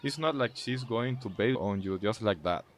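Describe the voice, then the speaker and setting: A man's voice: fairly low pitch, normal speed, slight background noise, lightly acted. A man playing a laid-back, reassuring teenage friend in an amateur audio drama.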